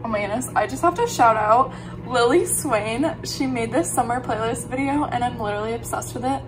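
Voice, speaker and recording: A woman's voice: a little high, brisk, music in the background, low-quality recording. Chatty young woman vlogging at home. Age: 20s. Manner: chatty, lots of "like" fillers. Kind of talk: casual vlog narration. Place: home apartment, indoors.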